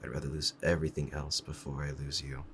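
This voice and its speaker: A man playing a doting, possessive fox-spirit husband in an intimate ASMR roleplay, talking softly in a rambling, hesitant, lovestruck way. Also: rumbling low, flat delivery, fast, gravelly, a little hiss or hum, heavily acted. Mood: tender, possessive.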